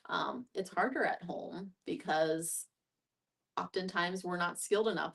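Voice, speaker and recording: A woman speaks in a fairly low voice with a lot of creak. She explains in a conversational group-discussion style, often checking for agreement. The microphone sits some distance away from her. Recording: voice picked up from a distance.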